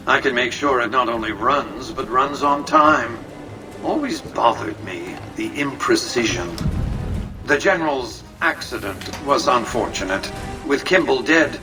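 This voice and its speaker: An actor playing a suave, calculating autocratic tycoon in a video game, speaking in a measured, formal, grandiose way. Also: male voice, mid-range voice, sharp tone, recorded from a distance, rough recording.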